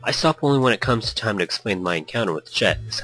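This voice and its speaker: A man with a low voice reads a visual novel aloud as a let's-play host. He stumbles over lines now and then and breaks off for unscripted comments. Soft music plays underneath. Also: animated, quick pace, rough recording. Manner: stumbles over words while reading.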